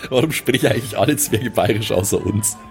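A man's voice: lowish voice, a little fast, soft background music. A man playing a tabletop game, chatting casually with the others. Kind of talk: casual chat.

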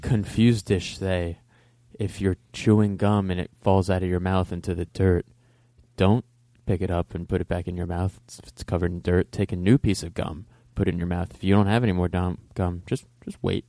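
A man with a deep voice chatting casually as a comedy podcast guest, agreeable and meandering in his jokes. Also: level tone, brisk, mellow, dry recording. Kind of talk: casual joking chat.

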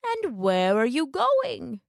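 A cunning male wolf from a storybook, voiced by a woman, speaking slowly and sweetly as he coaxes. His voice swings up and down with lively inflection. The sound is dry and close, with no room around it. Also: high-pitched, creaky, no echo, pitched above the performer's own voice, full character voice. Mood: coaxing. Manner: slow, coaxing delivery. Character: sly smooth-talking male wolf.

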